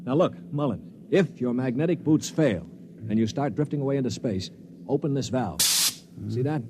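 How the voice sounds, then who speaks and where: A man's voice: medium pitch, animated, moderate pace, lightly acted. A male actor playing a tense, decisive leader of captive men in a 1950s radio drama, urgent and commanding. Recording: noisy old 1950s radio recording.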